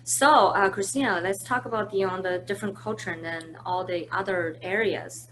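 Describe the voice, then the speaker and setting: A woman's voice: medium pitch, moderate pace, fairly bright tone, slight background noise, poor audio. A woman hosting a conversational business webinar from home, easygoing and full of fillers and restarts. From China. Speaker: webinar host, marketing manager. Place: at home, indoors.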